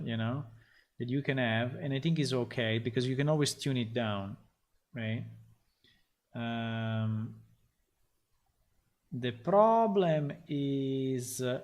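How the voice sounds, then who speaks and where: A man, a game developer, talking casually on a live stream as he works, thinking aloud and halting often with fillers. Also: mid-range voice. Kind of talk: casual live-stream talk while working.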